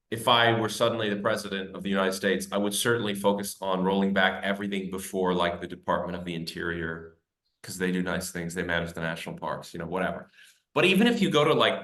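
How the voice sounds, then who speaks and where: A man with a very low voice, talking as a guest author in an easygoing, rambling way. His casual phrasing leans heavily on "like" and "you know", and the room around him rings with echo. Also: fairly bright tone. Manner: loose, conversational, heavy use of "like". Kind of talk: casual interview chat. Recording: roomy echo.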